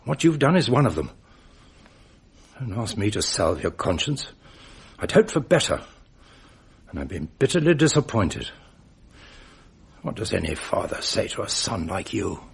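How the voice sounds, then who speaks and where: A man's voice: lowish voice, sing-song, normal speed, creaky, pauses often, breathy edge, exaggerated voice. An actor playing a stern, disappointed father who coldly reproaches his son in a 1980s radio drama. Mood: reproachful. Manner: cold, reproachful.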